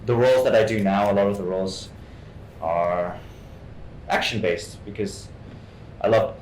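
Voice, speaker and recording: A man from Hong Kong giving an informal interview as a guest actor, halting and often repeating his words. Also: darkish tone. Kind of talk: informal artist talk, answering questions. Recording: echoey room, faint rumbling noise.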